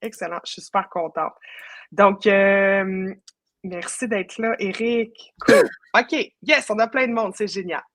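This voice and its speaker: A woman's voice: medium pitch, unhurried, no room sound. Casual Quebec French woman hosting online, dry sound. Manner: chatty, informal, interjects English words. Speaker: host of live online training session; web marketing professional. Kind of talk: casual live webinar chat.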